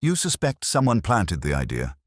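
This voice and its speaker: A man's voice: sits low, huge pitch swings, moderate pace, dry recording, studio quality, heavily acted. A man voicing an earnest, questioning companion to a detective in an audiobook. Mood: doubtful. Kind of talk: audiobook detective story dialogue.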